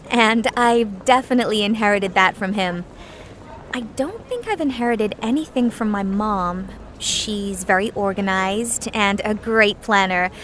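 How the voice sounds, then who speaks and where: A woman's voice: on the high side, fairly bright tone, a little hiss or hum. A woman from Korea, speaking in an easygoing, reflective way as an interviewee. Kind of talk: scripted course-book interview.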